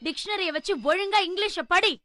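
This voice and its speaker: A woman's voice: extremely high pitch, steady tone, brisk, bright, forceful tone, a little hiss or hum. A woman playing a strict, nagging mother in a dubbed cartoon. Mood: stern. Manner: scolding, instructive.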